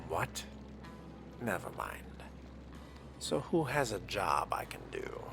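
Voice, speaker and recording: A man's voice: sits low, huge pitch swings, slow, gravelly, music in the background, heavily acted. A man voicing a wry, curious new vampire fledgling in role-play game dialogue, dry and questioning. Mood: dry, unimpressed.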